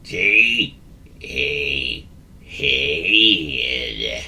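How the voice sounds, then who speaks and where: Man doing a droopy grumbly creature guy, low and mumbly, totally miserable. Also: huge pitch swings, very slow, vocal fry, bright, forceful tone, recorded from a distance, exaggerated voice. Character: gloomy grumbling male creature.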